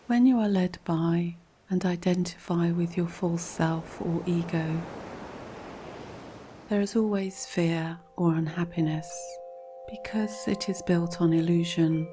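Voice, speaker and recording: A woman reading a scripted guided relaxation in a calm, measured, instructional way, with a soft, breathy voice. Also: on the low side, background noise. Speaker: guided meditation narrator.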